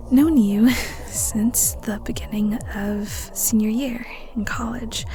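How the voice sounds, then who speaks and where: A young woman acting in an audio roleplay as an awkward, fond best friend with a hidden crush, speaking haltingly and bashfully with giggly hesitations. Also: medium pitch, mellow, natural voice. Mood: bashful, proud.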